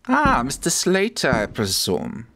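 A man's voice: medium pitch, wildly swooping pitch, a little slow, very noisy. A man playing a menacing, impatient terrorist villain in a radio play, theatrical and imperious. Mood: smug.

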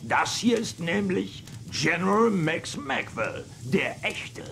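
An actor playing a blustering, self-important army general who barks orders in a western children's radio play. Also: male voice, high-pitched, big pitch swings, slow pace, gravelly, fairly bright tone, a little hiss or hum, heavily acted. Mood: smug, teasing. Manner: pompous, barking orders.

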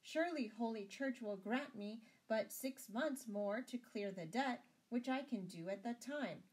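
A man's voice: very high voice, unhurried, husky, voice pushed up, exaggerated voice. A woman voicing a proud, earnest medieval knight with a courtly manner, in a storybook tale. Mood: humble, pleading. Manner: earnest, courtly, pleading or defiant. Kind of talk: storybook character voice.

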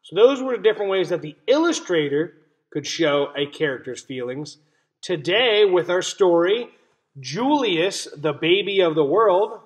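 A young man teaching a read-aloud lesson for young children, explaining simply and stressing key words. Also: a little high. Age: young adult. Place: small indoor room at home. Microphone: webcam or laptop mic at arm's length.

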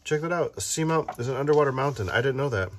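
A man's voice: mid-range voice, animated, quick pace, a little hiss or hum. A man reading a children's book aloud with casual, playful chat, explaining things simply to a child. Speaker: parent reading aloud and host. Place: indoors at a tabletop, book filmed from above.

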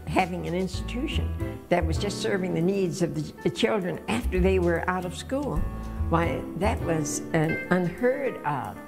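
An older woman gives a documentary interview about her past. Her voice sits very low with a gravelly fry. She talks measured and hesitant, with soft music faintly behind. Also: animated.

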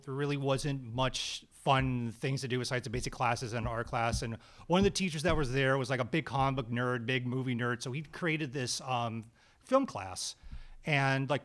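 A man answers interview questions in a small studio, close to a desk stand mic. He talks casually and haltingly, restarting his sentences as he rambles along. His voice sits at an ordinary, middling pitch. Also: a bit bright. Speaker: guest actor and screenwriter.

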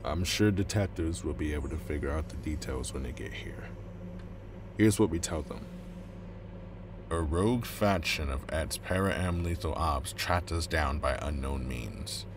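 A man's voice: a bass voice, unhurried, noisy background. A man playing a ruthless, coldly calculating corporate agent in an audio drama, controlled and menacing. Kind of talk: dramatic audio roleplay acting.

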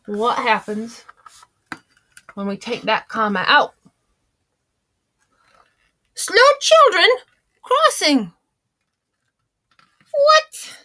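A woman's voice: medium pitch, steady tone, takes it slowly, pauses often, sharp tone, slightly rough, exaggerated voice. A woman voicing a folksy old grandma who reads a storybook aloud in a chatty, homespun, theatrical way. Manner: chatty and theatrical, gasping and exclaiming.

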